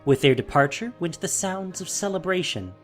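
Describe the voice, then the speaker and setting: A man narrating a scripted fantasy story in a measured, dramatic way. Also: high-pitched, animated, faint music behind.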